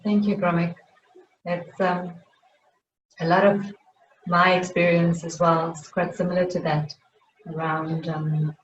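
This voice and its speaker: A woman speaking on a panel, gentle and hesitant, answering a fellow panelist. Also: on the low side, reverberant, far from the mic, lo-fi sound. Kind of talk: reflective panel discussion. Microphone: video call.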